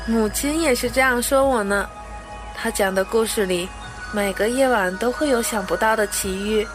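A small, brave boy prince acted by a woman, innocent and gentle, with a high voice. It is audio drama acting, laid over clearly audible music. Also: normal speed, lightly acted. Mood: earnest, innocent.

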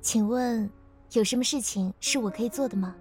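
Actress as demure young female office newcomer, fairly high voice, polite, earnest, light music behind. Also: a little fast, darkish tone, natural voice. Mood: polite, eager. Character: polite, well-bred, demure young female new employee. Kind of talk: modern audio drama acting.

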